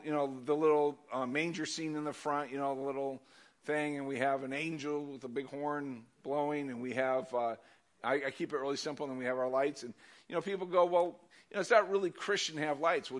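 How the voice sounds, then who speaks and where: An older man giving a sermon, telling stories loudly and animatedly with casual asides. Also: mid-range voice, fairly quick. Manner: animated, loud storytelling with asides. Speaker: pastor.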